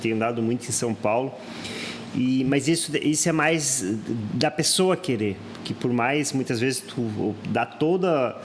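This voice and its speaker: Brief: male host, southern Brazilian accent, mid pitch, talkative. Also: measured pace, a little hiss or hum. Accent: Rio Grande do Sul Brazilian Portuguese. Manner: chatty, rambling questions. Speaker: podcast host and real estate trainer. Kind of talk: casual podcast hosting.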